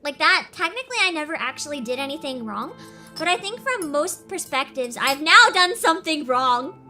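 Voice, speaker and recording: Giggly young woman streamer, sarcastic, very high animated voice, bright tone. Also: moderate pace, soft background music. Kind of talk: casual game commentary.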